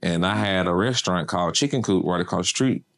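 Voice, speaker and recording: Low-voiced laid-back man. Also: fast. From Memphis. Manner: laid-back, slangy, rambling with fillers. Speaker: artist manager and DJ. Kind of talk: casual interview chat.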